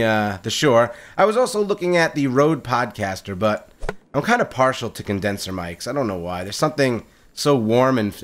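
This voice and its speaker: A man hosting a gaming video, talking in a casual, upbeat way with a loud voice and lots of fillers, recorded on a condenser mic at home. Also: mid-range voice. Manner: upbeat, chatty, frequent fillers and repeated words. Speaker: gaming channel host. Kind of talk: casual solo gameplay commentary. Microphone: condenser mic on a boom stand.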